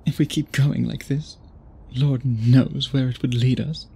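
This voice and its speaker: A man playing an obsessed, tormented vampire suitor in an intimate ASMR roleplay, speaking formally and haltingly in a breathy, husky voice. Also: medium pitch, steady tone, warm tone, full character voice. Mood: apologetic, restrained. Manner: formal, theatrical, halting speech with dramatic pauses.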